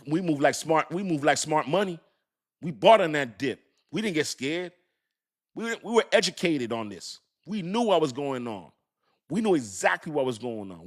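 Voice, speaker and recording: Hyped slangy man hosting a crypto stream. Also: a little high. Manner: hyped, repetitive, slangy, talks straight to chat. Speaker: crypto livestream host. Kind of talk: excited casual livestream talk to chat.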